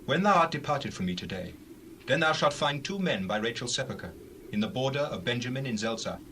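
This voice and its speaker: A man playing an aged, authoritative Hebrew prophet in a dramatized scripture reading, speaking in solemn, deliberate pronouncements. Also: mid-range voice, lively intonation, pushed and bright, slight background noise. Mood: grave, prophetic.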